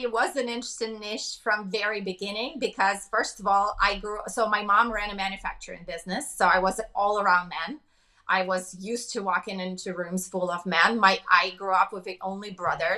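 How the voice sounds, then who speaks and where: A woman from Russia with a Russian accent, speaking fast and emphatically as a business coach in a podcast interview. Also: mid-range voice, steady tone, bright, forceful tone.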